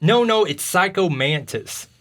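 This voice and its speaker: A man's voice: sits high, expressive, unhurried, a bit bright. Man rambling casually in slangy game chat. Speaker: video game podcast host. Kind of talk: casual chat about video games.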